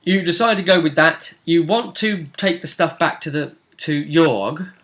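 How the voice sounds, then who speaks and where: A man's voice: a little high, a little slow, fairly bright tone. A man casually discussing a tabletop role-playing game as its game master, often checking with tag questions.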